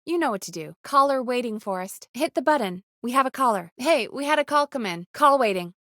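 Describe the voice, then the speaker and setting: A woman voicing a video game character, a brisk, wry late-night radio producer who talks in a bossy, teasing, conversational way. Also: fairly high pitch, lively intonation, sharp tone, no room sound. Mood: brisk, matter-of-fact. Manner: bossy, teasing, dry sarcasm. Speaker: radio show producer giving cues.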